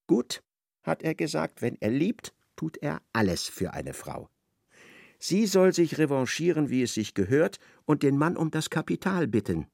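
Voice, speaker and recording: A man's voice: a little high, sing-song, a little slow, creaky, darkish tone, dry recording, clean studio sound. A man narrating a literary radio play in a theatrical manner, retelling conversations in reported speech.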